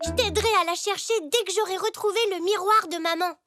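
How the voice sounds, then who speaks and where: A performer voicing a curious five-year-old boy zebra in a children's cartoon, eager and expressive. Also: extremely high pitch, quick pace, dry recording, faint music behind. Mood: determined.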